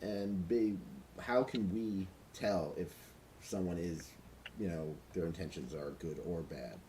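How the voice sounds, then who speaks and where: A man interviewing a guest in a relaxed way, hesitating and joking between questions. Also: low voice, slow, slight background noise, rough recording. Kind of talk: casual interview.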